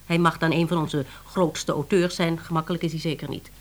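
An actress playing a formal, exasperated woman boss at a publishing house in a radio play, measured and reproving. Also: sits low, fairly even intonation, close to an everyday voice. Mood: dry, questioning.

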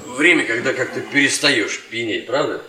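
A man's voice: medium pitch, normal speed, background noise, close to an everyday voice. An actor playing a polite, tired, defensive younger man, hesitant and repeating himself, in a Soviet stage radio drama. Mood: confiding, subdued. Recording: echoey stage, distant microphone.

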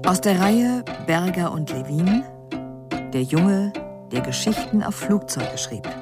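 A female announcer introduces a radio play at a very slow, deliberate pace. Her voice is slightly low, with loud plucked string music behind it. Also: animated. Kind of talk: scripted radio drama announcement.

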